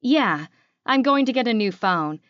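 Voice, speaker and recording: A woman's voice: on the high side, animated, measured pace, fairly bright tone, dry recording, muffled recording, pitched above the performer's own voice. A woman narrator voicing an earnest, apologetic young woman in a romance audiobook.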